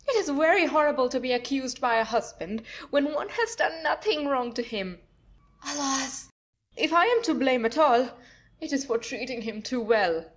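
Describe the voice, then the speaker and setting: A woman's voice: sits high, expressive, moderate pace. A woman playing a wronged young wife, plaintive and offended in a formal theatrical way, in a comedy audio play.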